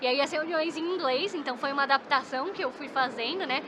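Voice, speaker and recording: A woman's voice: high-pitched, fast, background noise. A Brazilian woman, an esports psychologist, chatting as a podcast guest and often tagging her sentences with little questions. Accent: Brazilian Portuguese. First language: Portuguese. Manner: chatty, frequent "né?" tag questions. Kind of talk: casual podcast conversation.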